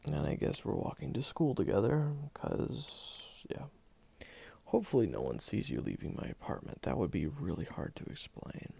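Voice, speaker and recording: Man voicing a prickly, secretly caring young guy, gravelly and husky, deep voice, dull lo-fi audio roleplay recording. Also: huge pitch swings, normal speed, mellow, exaggerated voice. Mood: teasing. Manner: grumbling, hesitant, awkwardly tender.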